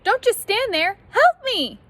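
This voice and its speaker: A woman's voice: extremely high pitch, sing-song, a little slow, noisy background, voice pushed up, heavily acted. A woman voicing a jealous little boy in a storybook, pleading and complaining in exclamations. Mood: impatient, pleading.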